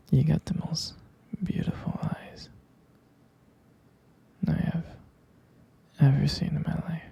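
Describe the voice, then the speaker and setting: A man acts out a tender, protective vampire boyfriend in an intimate ASMR roleplay. His voice is a very husky, breathy murmur, thick with creak and very soft and dark. He takes his time, hesitating between affectionate pet names and gentle teasing. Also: a little high, lo-fi sound, exaggerated voice. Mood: affectionate, adoring.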